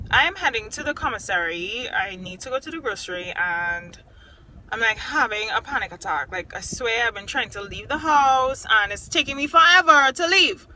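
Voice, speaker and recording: Young woman vlogger from Trinidad and Tobago, bright and forward voice, chatty and exclaiming, phone mic, faint background hum. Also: medium pitch, rough recording. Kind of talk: casual vlog chat. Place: at home, indoors and in the yard.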